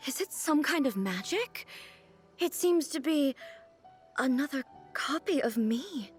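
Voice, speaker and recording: An actress playing a calm, formal female ruler goddess in a video game, speaking in a measured, reflective way. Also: sits high, faint music behind. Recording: game soundtrack under voice.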